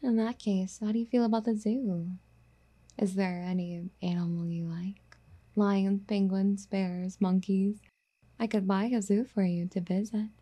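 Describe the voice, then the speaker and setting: Casting: female performer as obsessive, doting yandere girlfriend for ASMR audio drama. Very soft, mellow, breathy delivery, affectionate and coaxing with a possessive streak. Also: mid-range voice, fairly even intonation, a little slow, close to an everyday voice. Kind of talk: soft intimate ASMR roleplay.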